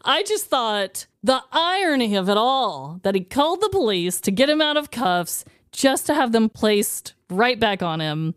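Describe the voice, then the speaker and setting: A woman's voice: fairly high pitch, very animated, measured pace, hardly any pauses, clear, resonant tone, dry recording, clean studio sound. A woman hosting a podcast, moving between scripted true-story narration and casual chat.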